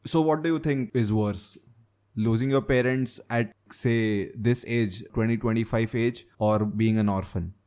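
A man's voice: on the low side, unhurried, darkish tone. A young man hosting a casual discussion, posing questions and checking in with his listener as he talks. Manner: probing, keeps adding "right?" checks. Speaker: host asking questions.

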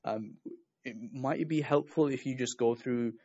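A man's voice: mid-range voice, measured pace, darkish tone, muffled recording. A man interviewing a guest on a podcast, asking his questions hesitantly with many fillers and restarts.

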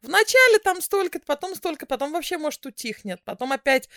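A woman co-hosting a podcast, talking in a lively, chatty way and laughing easily. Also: sits high, fairly quick, dry recording, clean studio sound. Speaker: podcast co-host. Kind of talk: casual chat.

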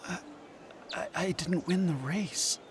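A man's voice: high-pitched, slow pace, background noise. A man playing a scatterbrained, anxious anthropologist in an audio drama, sounding uneasy and hesitant. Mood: uneasy, confused.

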